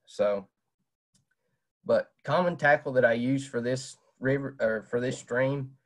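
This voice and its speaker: A man's voice: medium pitch, a little slow. Young man, casual fishing talk, lots of fillers, webcam at home. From Southwest Virginia. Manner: plain-spoken, many "uh" fillers. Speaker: fishing presenter. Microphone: webcam on video call.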